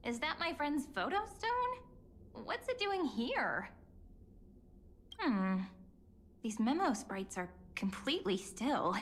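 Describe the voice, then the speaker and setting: A woman playing a curious, gentle young woman in an anime-style game, musing softly and teasing lightly, with music behind her. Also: high voice, sing-song, slow pace, pauses often, slight background noise, full character voice.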